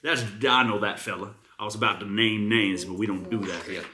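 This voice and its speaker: A man's voice: lowish voice, brisk, a crowd in the background. A man with a Southern US accent co-hosting a comedy show, mixing casual banter with theatrical, mock-serious readings of product reviews.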